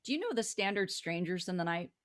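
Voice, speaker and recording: A woman's voice: on the low side, sing-song, fast. A woman co-hosting a casual music talk show, chatting in a jokey, self-deprecating way. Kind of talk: casual music and fan chat.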